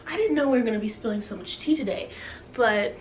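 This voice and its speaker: A woman's voice: on the high side, lively intonation, fast talker. A young woman chatting casually to camera as a book vlogger, playfully dramatic and self-deprecating. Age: young adult. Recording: roomy, distant mic with faint hiss.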